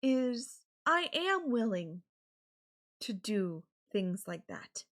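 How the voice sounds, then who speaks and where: A woman playing an obsessive, possessive, murderous girlfriend in an ASMR audio roleplay, speaking deliberately with drawn-out phrases and pauses. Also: fairly high pitch, big pitch swings, a bit bright, exaggerated voice. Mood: calm menace.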